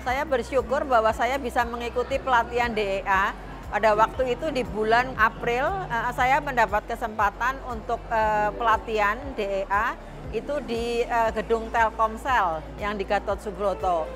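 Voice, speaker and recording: A woman's voice: on the high side, moderate pace, music in the background. A woman giving an interview testimonial as a small business owner.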